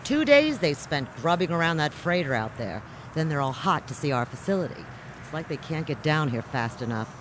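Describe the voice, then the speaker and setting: A woman's voice: deep voice, very animated, moderate pace. Casting: hard-bitten female mining boss, swooping delivery, blunt and slangy, sci-fi radio play over noisy ambience. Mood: wry, suspicious. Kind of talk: science fiction radio drama acting.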